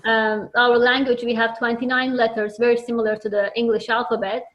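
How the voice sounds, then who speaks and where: Turkish-accented woman, higher voice, thoughtful, restarts a lot, dull lo-fi sound. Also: level tone, fairly quick. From Turkey. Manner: earnest, thoughtful, with frequent restarts. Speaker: children's book author. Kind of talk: interview answers. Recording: dull lo-fi audio.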